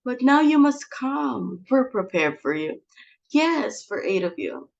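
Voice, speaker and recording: A woman's voice: fairly high pitch, sing-song, slow, vocal fry, muffled recording, full character voice. A woman playing an exasperated, imperious society mother. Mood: pleading politeness. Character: domineering, melodramatic middle-aged society hostess and mother. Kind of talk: dramatic reading of a stage play.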